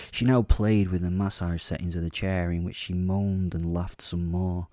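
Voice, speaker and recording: Male first-person narrator for dark fiction: very low, dark timbre, wry and confiding, close dry recording. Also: no echo. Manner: wry, confiding, rambling asides. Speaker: narrator and host of a fiction podcast. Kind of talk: first-person horror drama narration, told in character.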